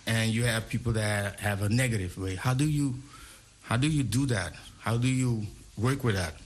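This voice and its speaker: A man's voice: lowish voice, moderate pace, slight background noise. A man hosting a casual interview, asking rambling questions that often restart midway. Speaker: interviewer host.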